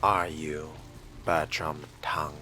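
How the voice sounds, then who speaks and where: A man role-playing a video game character in a measured, musing, aloof and theatrical manner. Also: very deep voice, level tone. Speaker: player voicing his vampire character. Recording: loud music behind the voice.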